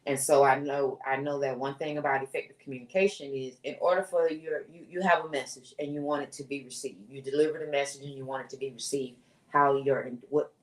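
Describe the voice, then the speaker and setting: A woman with a loud, strong voice, chatting bluntly and emphatically as a podcast co-host. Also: rumbling low, steady tone, fairly quick. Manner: blunt, emphatic, repeats herself. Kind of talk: casual chat. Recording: echoey room, far from mic.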